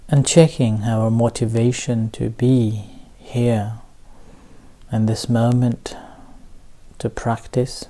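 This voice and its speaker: Slow, mellow male meditation teacher. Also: mid-range voice, level tone. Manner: halting, measured phrasing with long pauses. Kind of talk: guided meditation talk.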